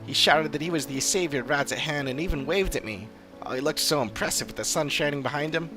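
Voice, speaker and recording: A man voicing an excitable, starry-eyed youngster in a fantasy game, gushing eagerly. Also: on the high side, fast, music in the background, lightly acted. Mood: awestruck. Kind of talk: scripted game dialogue reading.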